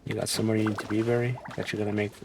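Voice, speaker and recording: A man's voice: lowish voice, flat delivery, racing. A man giving casual, laid-back video game commentary as a streamer, musing as he goes. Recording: game music behind the voice.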